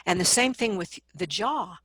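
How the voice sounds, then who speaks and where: Slightly low-voiced woman coaching in conversation. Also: animated, moderate pace, fairly bright tone, husky, no echo, studio quality. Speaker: vocal coach being interviewed. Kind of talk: conversational interview, explaining advice with examples.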